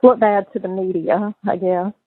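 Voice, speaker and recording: A woman's voice: medium pitch, steady tone, normal speed. A middle-aged woman talking casually on a recorded phone call. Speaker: mother on a call to her son in jail. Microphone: telephone.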